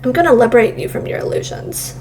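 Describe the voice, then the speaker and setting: Woman doing bitter rival, accusing, menacing, high voice, visual novel game, background noise. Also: quick pace, a little mellow, far from the mic, lightly acted. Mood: bitter, threatening. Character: bitter, threatening rival confronting a colleague.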